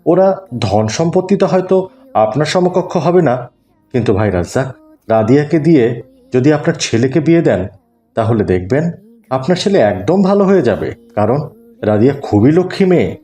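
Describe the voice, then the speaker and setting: A man's voice: mid-range voice, very animated, moderate pace, dark tone, close to an everyday voice. A man playing an earnest, persuasive middle-aged family friend in a cartoon, speaking courteously. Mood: earnest, reassuring. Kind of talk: cartoon dialogue.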